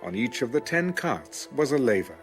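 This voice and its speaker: A man reading scripture as scripted audiobook narration, measured and clearly enunciated. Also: medium pitch, very animated, faint music behind. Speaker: Bible narrator.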